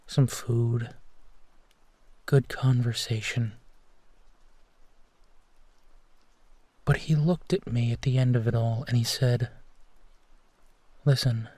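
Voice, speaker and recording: A man playing a gentle, protective werewolf who tenderly reassures his partner in an intimate bedtime roleplay, leaving long pauses. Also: medium pitch, no echo. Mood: reflective, soft. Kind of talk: intimate roleplay audio, soft bedtime comfort.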